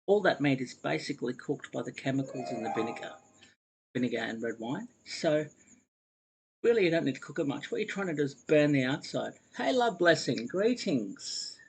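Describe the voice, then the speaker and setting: A man's voice: fairly high pitch, big pitch swings, moderate pace, vocal fry, breathy edge, poor audio. A man chatting casually to his viewers while he cooks on a live stream. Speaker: cooking livestreamer. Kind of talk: casual live cooking chat.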